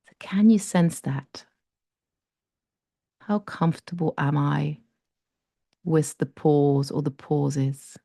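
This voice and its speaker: A woman guiding a breathing meditation, speaking slowly and gently with long pauses. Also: sits low, creaky, no room sound, clean studio sound. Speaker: meditation guide.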